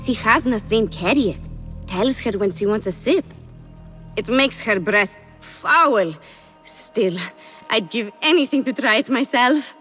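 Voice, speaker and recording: An actress playing a coy, coldly loyal young palace schemer in a game cutscene, speaking in a sly, controlled, deliberate way. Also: female voice, fairly high pitch, animated, a bit bright, soft background music. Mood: coy, wistful. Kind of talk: video game cutscene acting.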